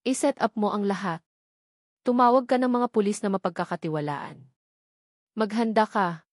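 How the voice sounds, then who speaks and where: A woman's voice: medium pitch, moderate pace, dry recording, clean studio sound, natural voice. A woman voicing a grieving, calculating man in an audio story, earnest and pleading as he feigns surrender. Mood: cold, commanding.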